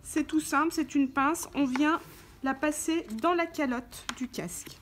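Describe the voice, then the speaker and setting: A woman's voice: high-pitched, steady tone, unhurried, a little hiss or hum. A woman giving an informal, hands-on product tutorial, explaining step by step as she handles the parts. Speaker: tutorial presenter for a motorcycle gear channel. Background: background music.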